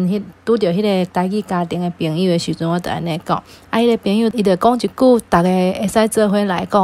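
A woman's voice: on the low side, darkish tone. A woman with a Taiwanese accent, talking casually as a parent being interviewed about personal experience.

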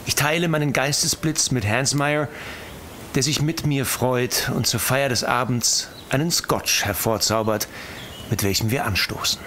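An actor playing an observant gentleman explorer reading his diary in an audio drama, formal and measured. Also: mid-range voice, a little hiss or hum. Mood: quietly amused. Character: expedition diarist, composed, observant, quietly amused gentleman.

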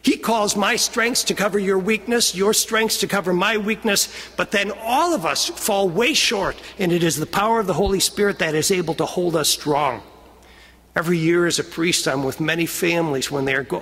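American male priest, high-pitched, husky, earnest homily, emphatic drawn-out phrases, long chapel reverb. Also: fairly bright tone. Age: middle-aged. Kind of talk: homily, telling stories and exhorting. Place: chapel pulpit, indoors. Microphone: gooseneck lectern microphone.

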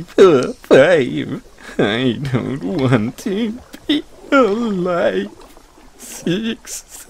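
A man voicing a bitter, tormented frog mascot in an audio roleplay, with a halting, tearful, self-pitying delivery. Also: high-pitched, vocal fry, husky, heavily acted. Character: weepy, bitter, tormented frog mascot haunting an abandoned amusement park. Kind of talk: audio roleplay monologue with mournful sung counting rhyme.

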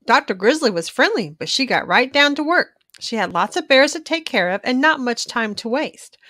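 A woman reading a children's storybook aloud in an expressive, animated narrating style for young listeners. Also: sits high, normal speed, no room sound, clean studio sound.

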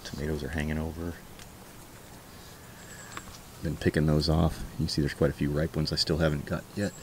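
A man giving a casual walking tour of his backyard garden outdoors, chatty and relaxed as he points things out on a handheld camera. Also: a bass voice, fairly quick, noisy background. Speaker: homestead vlogger. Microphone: handheld camera held while walking.

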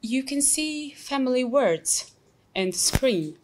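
A young woman with an Albanian accent teaching an English lesson, explaining slowly and patiently with many examples. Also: sits high, lively intonation, a little mellow, a little hiss or hum. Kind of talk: recorded classroom lesson.

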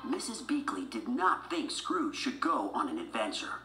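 A woman's voice: rumbling low, very animated, moderate pace, a bit bright. A woman narrating a children's storybook from a script as a read-along. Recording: roomy sound with music behind.

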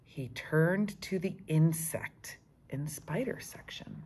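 A middle-aged woman, a teacher reading a children's book aloud in an expressive, deliberate way with explanatory asides. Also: low-pitched, breathy edge, whispered. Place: school classroom, indoors.

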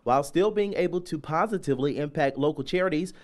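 A man with a somewhat high voice works as a TV reporter. He reads a scripted news voice-over. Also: fast talker.

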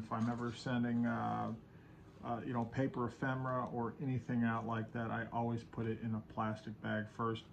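A man in his thirties giving a casual, conversational how-to talk to the camera in a small room at home. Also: medium pitch. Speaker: video host, online seller. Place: small indoor home office with bookshelves. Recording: clear background room noise.

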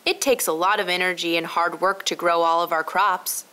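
A woman's voice: lowish voice, steady tone, fairly quick, sharp tone, clean tone. A woman voicing a kindly, hardworking male farmer who explains things earnestly in a children's storybook. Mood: proud, instructive.

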